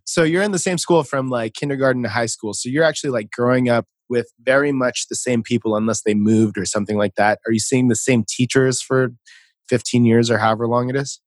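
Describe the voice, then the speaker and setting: A man's voice: mid-range voice, brisk, dry recording. A man hosting a casual podcast interview over a video call, upbeat and chatty, laughing easily. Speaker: podcast host.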